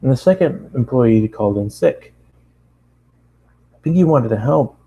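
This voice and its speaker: Male narrator, dark mellow tone, medium pitch, amateur first-person story reading. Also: expressive, unhurried, breathy edge, rough recording.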